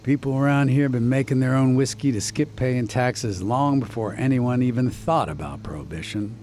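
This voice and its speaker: An actor playing an easygoing, watchful small-town doctor in a radio drama, with a West Virginia accent. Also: male voice, mid-range voice, normal speed, darkish tone, slight background noise, lightly acted. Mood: friendly, easygoing. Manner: folksy, conversational.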